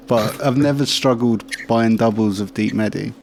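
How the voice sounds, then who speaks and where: A man's voice: fairly low pitch, normal speed, gravelly, a little mellow, faint music behind. A man with an English accent in a casual, slangy chat. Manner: slangy, informal. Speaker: talk show participant.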